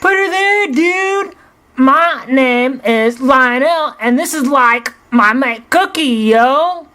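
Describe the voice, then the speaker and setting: A man's voice: expressive, slow pace, pushed and bright, full character voice. A man voicing a laid-back young male lion who talks like a surfer dude in a Los Angeles Valley accent, slangy and drawling. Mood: chill, chatty. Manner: slangy, drawling, very high falsetto.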